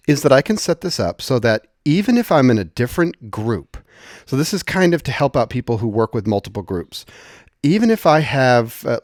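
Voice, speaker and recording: A man presenting an informal software tutorial in a conversational, explanatory way, thinking aloud as he goes. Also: medium pitch, no room sound, studio quality.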